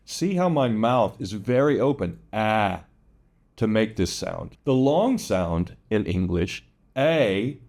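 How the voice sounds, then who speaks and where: A man teaching English vowel sounds, modeling each word slowly and repeating it with drawn-out, exaggerated vowels. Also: medium pitch, a little mellow.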